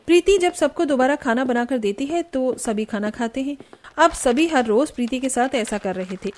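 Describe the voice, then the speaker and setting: A woman's voice: high-pitched, lively intonation, normal speed, darkish tone. A woman reading scripted story narration as the narrator. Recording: faint music bed behind narration. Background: background music.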